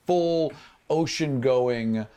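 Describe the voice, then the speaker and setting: A man runs a tabletop fantasy game as its game master. He improvises scenes in an animated, conversational style and throws in his own vocal sound effects. His pitch is average for a man. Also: very slow.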